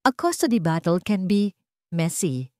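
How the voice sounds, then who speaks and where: A woman voicing a cautious, deferential veteran lawyer in a story, giving measured advice. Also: lowish voice, very animated, dark tone, no room sound, clean studio sound. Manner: measured, advising.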